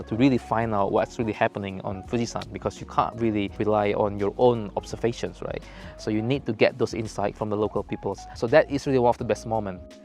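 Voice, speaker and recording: Hesitant young man. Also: on the low side. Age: young adult. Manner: hesitant, many fillers, relaxed. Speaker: intern interviewee. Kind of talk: casual interview answers. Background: faint music.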